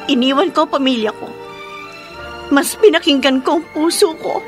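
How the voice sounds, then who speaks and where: A high female voice from an actress plays a heartbroken young woman standing firm against a lover. The delivery is deliberate and full of feeling, in Filipino radio drama style, with music underneath. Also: natural voice. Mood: bitter, pained. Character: heartbroken resolute young woman rejecting her former lover. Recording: music behind the voice.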